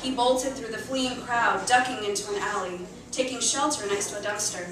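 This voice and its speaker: A woman's voice: a little high, moderate pace. A woman reading a short story aloud dramatically and expressively at a live literary event. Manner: expressive, acting out chants and dialogue. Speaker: reader at a live literary show. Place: indoor cafe venue reading before an audience. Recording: echoey live venue, distant mic, faint noise.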